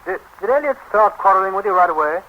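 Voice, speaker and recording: An actor playing an eager, jealous, besotted British newlywed husband in a 1930s radio comedy, stammering and insistent. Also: male voice, high voice, lively intonation, quick pace. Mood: earnest, insistent. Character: earnest, besotted, jealous English newlywed husband, big athletic type. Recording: muffled, hissy 1930s radio broadcast.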